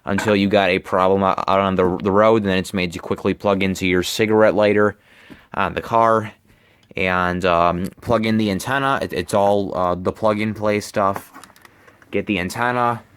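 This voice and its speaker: A young man with a fairly low voice presents in a casual vlog style. He speaks haltingly, doubling back on words. The sound is close and very dry, recorded in a bedroom on a handheld camera. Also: steady tone, a bit bright, smooth, no room sound. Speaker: hobbyist presenter. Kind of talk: casual product show-and-tell vlog. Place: bedroom, indoors. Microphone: handheld camera, close behind it.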